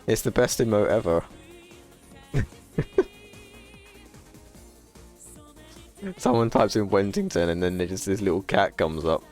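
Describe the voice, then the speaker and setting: Low-voiced man, rambling stream chat. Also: takes it slowly, pauses often, a little mellow, faint music behind. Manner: rambling and profane, with frequent laughs. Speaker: livestreamer. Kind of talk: casual chat to a live audience.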